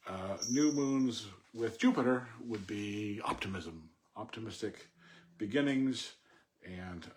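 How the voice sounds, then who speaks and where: A man reading poetry and commenting on it informally in a measured, thoughtful way. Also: lowish voice, a little hiss or hum. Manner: halting, thinking aloud with self-corrections. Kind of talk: poem recitation and informal unscripted commentary.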